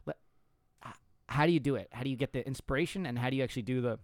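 Mid-pitched man asking questions. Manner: prodding questioner, halting restarts. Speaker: podcast host. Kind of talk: casual interview chat.

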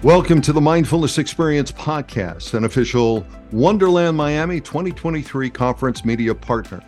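A man's voice: on the high side, animated, normal speed, music in the background. An older man hosting a podcast, reading a scripted intro and then chatting loosely with a guest, with some hesitations. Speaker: podcast host.

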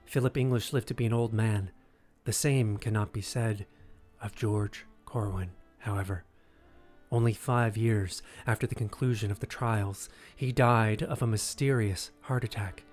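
A man narrating scripted history as a measured, dramatic storyteller. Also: on the low side, steady tone, darkish tone. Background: music.

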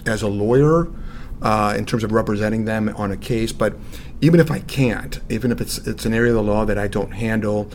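A middle-aged or older man with an American accent, giving measured, explanatory answers as an interview guest. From Saint Louis, United States. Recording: noisy with low rumble.

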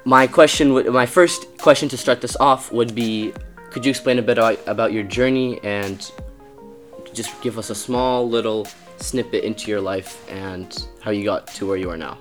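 A man's voice: on the low side, steady tone, moderate pace, a bit bright, faint music behind. Casual young-sounding male podcast host. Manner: loose, informal phrasing. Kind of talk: casual interview.

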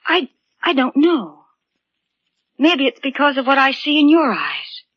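An actress playing a gentle, anxious sweetheart, soft-hearted and hesitant, in 1940s radio drama style. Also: female voice, high-pitched, big pitch swings, a little slow, no echo, dull audio. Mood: guarded. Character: gentle, anxious sweetheart woman caught between twin brothers. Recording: vintage 1940s radio, band-limited.

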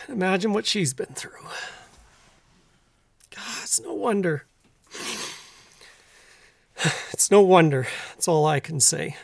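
An actor with a Canadian accent playing a troubled, earnest middle-aged rural husband in an audio drama, speaking plainly and haltingly. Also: male voice, high voice, expressive, gravelly, no room sound, heavily acted. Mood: subdued, resolute. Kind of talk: naturalistic audio drama acting.

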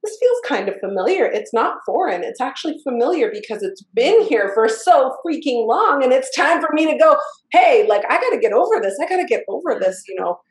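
A woman's voice: high voice, sing-song, a little fast. A woman hosting a podcast in an easy, conversational way. She tells earnest stories and acts out little quotes as she goes. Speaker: podcast host. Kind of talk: casual conversational interview. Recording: roomy echo.

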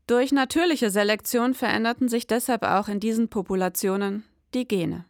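An actress playing a precise, sober detective in a scripted noir audio drama, speaking in formal, matter-of-fact wording. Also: female voice, on the high side, normal speed, no echo, studio quality, natural voice.

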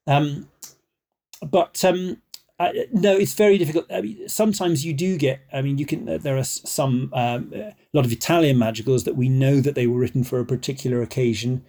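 A man's voice: medium pitch, fairly quick, warm tone. A man, a guest musician, explaining early music in a chatty, scholarly way, often hesitating and wandering off on tangents.

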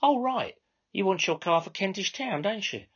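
Female minicab controller, male reader, high and husky, bossy, mock-polite, sweary, story dialogue. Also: lively intonation, moderate pace, a bit bright, muffled recording, heavily acted. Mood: brisk. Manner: insincerely courteous, bossy, sweary.

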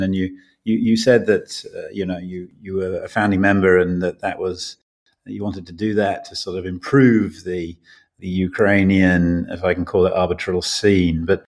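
A man hosting a law podcast, interviewing a guest with a hesitant, restarting way of asking questions. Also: low-pitched. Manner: hesitant, many restarts and fillers. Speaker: podcast host, law partner.

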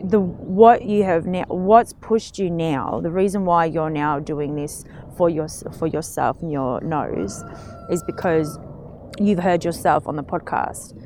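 A woman's voice: sits low, measured pace, a little hiss or hum. Encouraging woman in casual podcast chat. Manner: encouraging, animated, talks about astrology. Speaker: podcast co-host.

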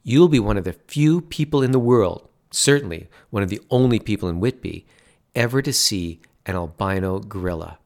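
A man voicing an excitable, stubborn middle-aged father who talks insistently and grows indignant. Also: on the low side, moderate pace. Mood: eager. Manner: insistent, growing loud and indignant.

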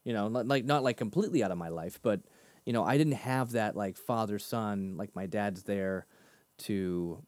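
A man co-hosting a casual faith discussion, talking animatedly and breaking into higher voices when he quotes himself. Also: moderate pace, no room sound. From Boston area. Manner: animated, laughs often, jumps pitch in quoted asides. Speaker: podcast co-host.

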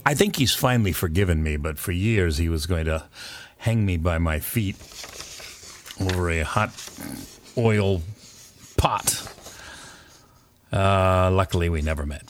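An older man, a former pirate radio DJ, telling a rambling, anecdotal story in a relaxed interview. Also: low voice, a little slow, long pauses. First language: not French; English speaker. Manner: rambling, anecdotal, with fillers and chuckles.

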